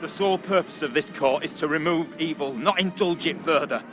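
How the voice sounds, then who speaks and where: An actor playing a self-righteous, accusing Puritan reverend in a video game, preaching in sanctimonious, archaic oratory. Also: male voice, a little high, a little fast, over music, close to an everyday voice. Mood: grave, accusing. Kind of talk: dramatic video game acting.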